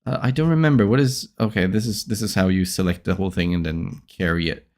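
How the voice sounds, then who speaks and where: A man who hosts a podcast, thinking aloud in a casual, halting way as he edits, often trailing off into quiet mutters. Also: low-pitched, steady tone. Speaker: podcast host editing his episode.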